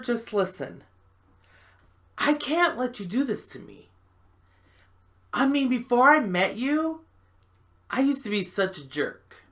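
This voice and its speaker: A woman performing a stage monologue as a frantic, self-confessed jerk pleading desperately, with theatrical swings from hushed to loud. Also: on the high side, pitched above the performer's own voice, heavily acted. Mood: urgent, alarmed.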